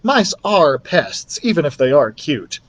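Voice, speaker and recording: A man's voice: fairly high pitch, sing-song, a little slow, a bit bright, exaggerated voice. A man voicing a young woman schoolteacher in a children's storybook, sweet, enthusiastic and exclamatory. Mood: doubtful.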